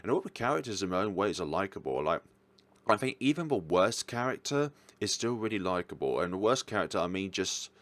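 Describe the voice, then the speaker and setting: A man's voice: low-pitched, expressive, a little fast, no echo. A man giving casual, informal commentary as a reviewer, talking in a rambling way. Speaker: visual novel reviewer.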